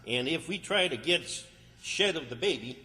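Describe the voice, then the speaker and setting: A man's voice: fairly high pitch, animated, normal speed, pushed and bright. Man playing blustering Southern country fellow, echoey hall. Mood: exasperated. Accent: American South, rural. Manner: folksy, blustering, exasperated. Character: flustered, bossy rural Arkansas man, scheming and exasperated. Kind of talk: old-time radio comedy acting. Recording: echoey hall, long reverb.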